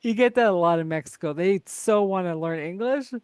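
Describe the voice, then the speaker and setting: A woman's voice: on the low side, fairly quick, darkish tone, clear, resonant tone, no echo, studio quality. A woman hosting a podcast from Canada, chatty and laughing often, full of "like" and "right?". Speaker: podcast host. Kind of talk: casual conversation.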